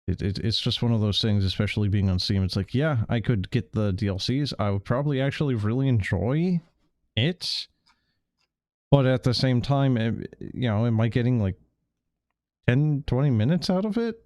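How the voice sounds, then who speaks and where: A man's voice: mid-range voice, lively intonation, moderate pace, a little mellow, no room sound, studio quality. A man doing casual game stream commentary in a hesitant way, stammering and repeating words as he goes. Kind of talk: casual stream commentary, sometimes reading text aloud.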